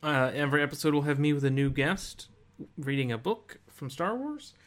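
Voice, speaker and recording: A man co-hosting a casual video game podcast, speaking in a halting, deliberate way as he thinks aloud. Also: on the high side, lively intonation. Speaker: podcast co-host.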